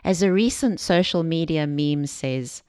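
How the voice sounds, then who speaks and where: A woman from Zambia giving a scripted self-help talk as a podcast host, measured and explanatory, speaking directly to the listener. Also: low voice, expressive, darkish tone, smooth, no room sound, clean studio sound.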